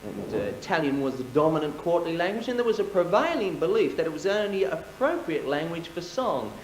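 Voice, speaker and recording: A man with a high voice delivers a formal stage prologue, lecturing like a learned host and pausing on the odd filler. The sound is roomy and carries a faint hiss from a live theatre recording. His tone stays measured and explanatory. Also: big pitch swings. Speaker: on-stage narrator.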